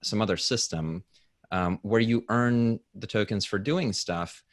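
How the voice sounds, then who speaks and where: Man with a really low voice arguing on a video call, wry and ironic, dry close sound. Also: a little slow, dry recording. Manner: wry, argumentative, builds ironic examples. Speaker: debate panelist. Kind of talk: panel debate on a video call.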